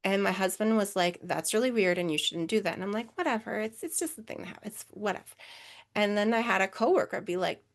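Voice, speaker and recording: A woman's voice: medium pitch, quick pace. A woman with a Minnesotan accent presenting a webinar over a video call, answering questions in a chatty way with many fillers. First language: English.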